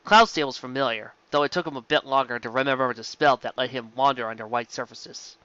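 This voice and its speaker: A man's voice: mid-range voice, fast talker, pushed and bright, smooth, no room sound, dull audio. A man narrating a scripted piece of fan fiction as an amateur reader, now and then stumbling over words and rereading them.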